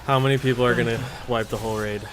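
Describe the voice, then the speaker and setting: A man's voice: mid-range voice, fairly even intonation, rapid-fire, background noise. A man streaming an online raid, chatting casually and teasing his teammates. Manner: joking, teasing banter. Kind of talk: casual gaming stream chat.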